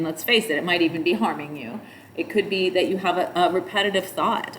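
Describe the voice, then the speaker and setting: A middle-aged woman with a nasal voice, teaching yoga in a relaxed, rambling way. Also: on the low side, a little hiss or hum, low-quality recording. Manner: chants mantras in a nasal sung tone between explanations. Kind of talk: spoken yoga instruction.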